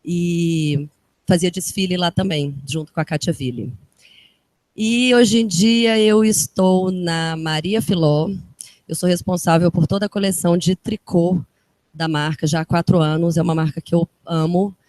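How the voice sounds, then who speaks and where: A woman's voice: lowish voice, fairly even intonation, darkish tone, no echo. A woman from Minas Gerais with a Minas accent, a fashion designer chatting casually and enthusiastically to a live audience. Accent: Minas Gerais Brazilian Portuguese. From Minas Gerais, Brazil. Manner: chatty, enthusiastic, quick bursts of speech. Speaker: fashion designer and guest speaker. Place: event room with an audience.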